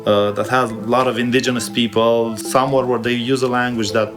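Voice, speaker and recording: A man's voice: mid-range voice, steady tone, quick pace, music in the background. A man from Romania hosting a travel vlog, moving between scripted narration and talk to the viewers. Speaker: travel vlogger and host.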